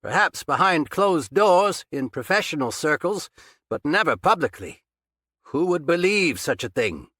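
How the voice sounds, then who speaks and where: A man's voice: on the high side, big pitch swings, a little slow, sharp tone, no echo, clean studio sound, pitched above the performer's own voice, heavily acted. A man voicing a gloating, grandiose villain. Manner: raised, grandiose, taunting delivery. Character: menacing, pompous male villain, gloating and cold.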